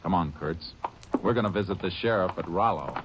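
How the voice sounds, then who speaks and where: A man playing a very deep-voiced Texas Ranger. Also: fairly quick, dull audio, background noise, lightly acted. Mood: determined. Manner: calm, clipped, matter-of-fact. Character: steady, sharp-witted male Texas Ranger. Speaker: lead actor and narrator. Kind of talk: 1940s radio acting. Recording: old radio broadcast with music.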